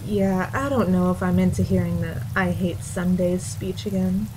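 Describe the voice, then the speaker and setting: A woman's voice: fairly low pitch, fairly even intonation, moderate pace, close to an everyday voice. A woman playing a down-to-earth, sincere wife in an audio drama, understated and conversational. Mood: dry, casual. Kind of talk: naturalistic audio drama acting. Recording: roomy echo, faint background noise.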